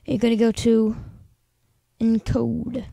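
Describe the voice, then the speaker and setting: A man with a high-pitched, squeaky voice, casually talking through a software tutorial in a rambling, chatty way full of filler words. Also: level tone, takes it slowly, creaky, dry recording. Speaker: tutorial host. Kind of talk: casual screen-recording tutorial.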